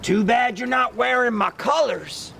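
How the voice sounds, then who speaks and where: A man's voice: measured pace, vocal fry, pushed and bright, full character voice. A man voicing a sly, self-assured tiger in a children's storybook, coaxing and casual. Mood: sly, friendly. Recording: distant mic, rumbly background noise.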